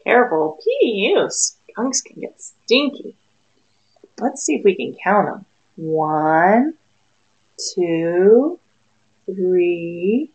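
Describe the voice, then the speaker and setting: A woman's voice: mid-range voice, poor audio. A woman in her thirties, a preschool teacher, reading a picture book aloud expressively and in a sing-song way to young children. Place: small room indoors.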